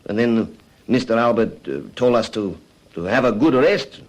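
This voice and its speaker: Casting: male actor for cowed male crime suspect, mid-pitched, halting and earnest, eager to confess, classic radio drama. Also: expressive, close to an everyday voice, low-quality recording. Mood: halting confession.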